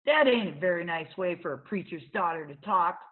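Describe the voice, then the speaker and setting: A bossy small-town boy of ten from a storybook, put on by a woman reader. He hollers and teases with loud, swooping delivery. The recording is thin, like a phone line. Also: lowish voice, animated, a little fast, close to an everyday voice. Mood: hollering, scolding. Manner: hollering, teasing. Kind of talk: storybook read-aloud character.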